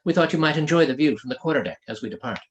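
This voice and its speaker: Man acting brisk commanding woman ship's captain. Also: a little high, animated, close to an everyday voice. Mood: polite, composed. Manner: brisk, formal, composed. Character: small, skinny, steady, commanding woman airship captain.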